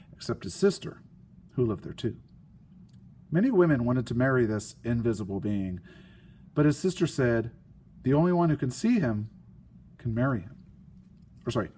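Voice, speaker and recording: A man reading a children's story aloud, with deliberate phrasing and dramatic emphasis. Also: medium pitch. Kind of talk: expressive children's story narration. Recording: faint background noise and ambience.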